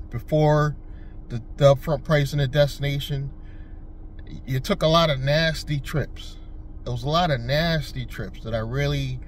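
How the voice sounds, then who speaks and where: A man from Connecticut rambles casually to his viewers about driving for a rideshare service, circling back on himself with fillers and restarts. His voice sits at an ordinary middle pitch. A faint hum of background noise runs under the recording. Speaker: rideshare driver and channel host. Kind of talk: casual vlog talk.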